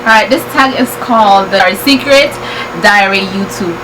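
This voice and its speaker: A woman's voice: medium pitch, moderate pace, recorded from a distance, noisy background. A young woman from Jamaica with a faint Jamaican accent, chatting casually and giggling alongside her mother on a vlog. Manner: relaxed, giggly, light Jamaican touches. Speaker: co-host, daughter. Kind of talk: casual chat.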